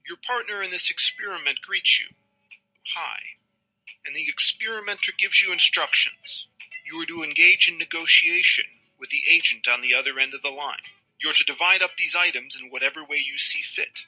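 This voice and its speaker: A man's voice: fairly high pitch, moderate pace, bright, forceful tone, rough recording. A man reading scripted audio storytelling narration, with music behind him. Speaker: radio or podcast narrator.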